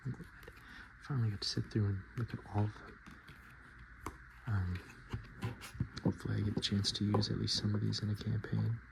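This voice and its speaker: A man hosting softspoken, whispered ASMR in a murmured, unhurried, casual and self-deprecating way. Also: lowish voice, little pitch movement, gravelly, background noise. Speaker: ASMR video host.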